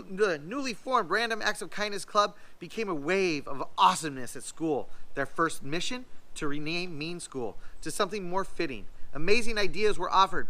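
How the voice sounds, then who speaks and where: A man reading a children's storybook aloud in an expressive, emphatic way. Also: a little high, poor audio. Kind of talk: scripted storybook read-aloud.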